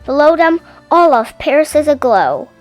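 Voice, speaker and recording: A woman narrating a children's story in an animated, theatrical read-aloud style. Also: high voice, normal speed, soft background music. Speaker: storybook reader.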